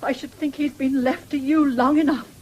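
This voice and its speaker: A woman's voice: sits high, steady tone, normal speed, darkish tone, lightly acted. An actress playing a stern, caring older farm mother and grandmother in a radio play, plain-spoken and reproachful. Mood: stern, indignant. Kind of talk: 1970s BBC radio play acting. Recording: noisy old radio broadcast recording.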